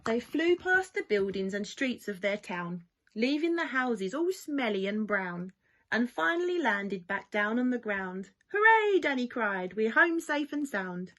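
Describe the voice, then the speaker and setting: A woman reading a rhyming children's storybook aloud in an expressive, lilting way, as school staff do for young pupils. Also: medium pitch, a little slow. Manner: animated, lilting rhyming delivery.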